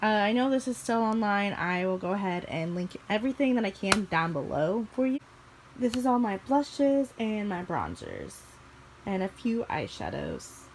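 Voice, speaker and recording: A woman's voice: mid-range voice, sing-song, normal speed. A young woman from the American Midwest vlogging casually about her makeup collection, her voice a little scratchy from allergies, chatty and rambling with small restarts. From Missouri, USA. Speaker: beauty vlogger. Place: bedroom, indoors.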